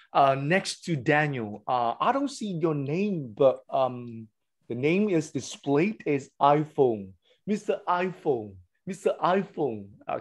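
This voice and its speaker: Slow, hesitant man hosting a video call. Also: medium pitch. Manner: slow, hesitant with many fillers. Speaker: online meeting host.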